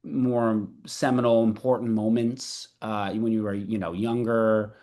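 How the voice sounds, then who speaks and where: Male host, lowish voice, upbeat, rambling with fillers. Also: flat delivery, measured pace, mellow. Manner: enthusiastic, lots of fillers like um and you know. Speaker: radio show host. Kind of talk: casual interview hosting.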